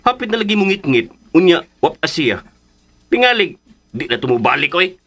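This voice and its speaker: Comic grumbling uncle, Cebuano-accented radio drama; high-pitched, very animated, gossipy and whiny. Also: male voice, normal speed. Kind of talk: Philippine radio drama acting.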